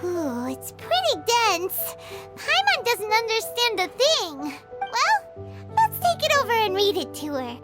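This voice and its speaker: A tiny excitable fairy girl chirps along in a video game, her voice very high and swooping all over the place. Loud game music plays underneath. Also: a little slow, exaggerated voice. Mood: curious. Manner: bubbly, speaks of herself in third person.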